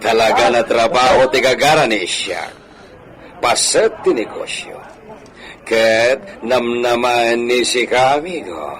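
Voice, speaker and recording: A man playing a wealthy, courteous hacienda gentleman in an Ilocano radio drama, formal and measured, peppering his speech with Spanish. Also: medium pitch. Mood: genial.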